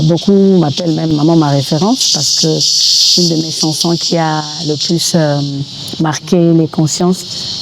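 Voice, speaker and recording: A middle-aged woman with a Congolese French accent, explaining things in an unscripted interview with frequent conversational tags. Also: deep voice. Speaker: gospel singer, interview guest. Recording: clear background noise.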